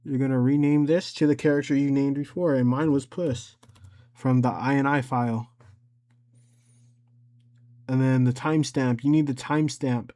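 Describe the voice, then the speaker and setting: A man with a medium-pitched voice hosts a casual software tutorial. He explains things step by step in an informal, unscripted way, often thinking aloud as he works. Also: fairly even intonation, normal speed, pauses often. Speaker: tutorial host.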